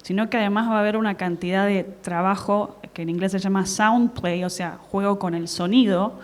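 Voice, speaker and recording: A woman's voice: mid-range voice, steady tone, a little fast. Articulate woman translator. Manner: articulate, explanatory, occasional fillers. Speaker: translator and teacher on a panel. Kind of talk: panel discussion. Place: conference hall at a book fair.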